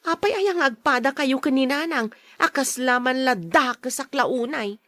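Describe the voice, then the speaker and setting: A woman's voice: high voice, lively intonation, unhurried, bright, forceful tone, no room sound, full character voice. A woman acting a defiant, gossiping daughter in a radio drama, argumentative and whining. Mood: defensive. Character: defiant, gossiping daughter who insists she saw it.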